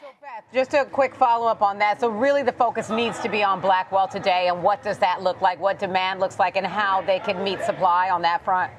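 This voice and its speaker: A woman anchoring a financial news segment, brisk as she steers the questions. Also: mid-range voice, steady tone, crowd noise.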